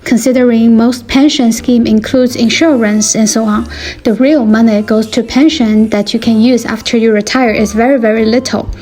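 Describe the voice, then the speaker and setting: A young woman from China, a native Chinese speaker, calmly explaining things in a scripted vlog narration. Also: on the high side, moderate pace, faint music behind. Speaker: vlogger.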